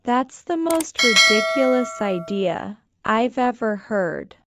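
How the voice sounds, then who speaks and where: A woman language teacher slowly repeating scripted lines for shadowing practice, enunciating each one with care. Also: fairly high pitch, sing-song, background noise. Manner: careful, deliberate enunciation.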